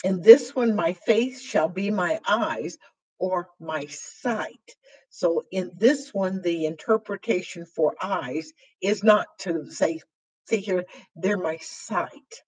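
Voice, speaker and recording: An older woman teaching sign language, explaining signs slowly with a voice worn hoarse after surgery, filling pauses with ums. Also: on the low side, darkish tone, poor audio. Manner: slow, halting, with frequent fillers while signing. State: voice weakened and hoarse after throat intubation. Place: small room with dark curtain backdrop, indoors.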